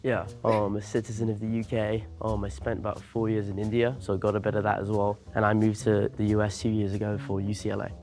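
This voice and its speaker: A young man from Leicester chats quickly with other contestants. His voice is gravelly and his delivery stays flat. Faint music runs under the clip. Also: on the low side. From Leicester, England. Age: young adult. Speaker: game show contestant. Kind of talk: casual group chat.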